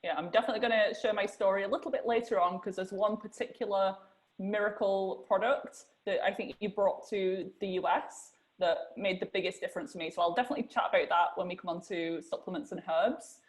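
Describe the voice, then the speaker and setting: A woman's voice: mid-range voice, steady tone, brisk, low-quality recording. A young woman hosting a podcast interview, chatty and using "like" a lot as she asks questions. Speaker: host.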